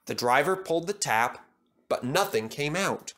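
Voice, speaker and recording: A man's voice: on the high side, animated, normal speed, a bit bright. A man reading scripted narration for a children's story as the storyteller.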